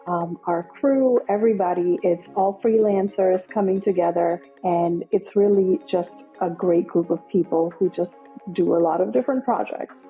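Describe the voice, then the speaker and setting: A woman's voice: on the low side, normal speed, muffled recording, faint music behind. A woman film director from Nepal, speaking as an interview guest in an upbeat, enthusiastic way with many fillers. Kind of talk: casual interview.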